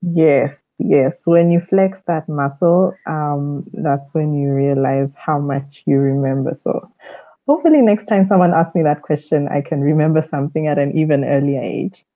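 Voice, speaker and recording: A woman's voice: low voice, normal speed, dry recording, narrow, tinny audio. A woman from South Africa answering conversationally as an interview guest on a podcast. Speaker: podcast guest, leadership mentor.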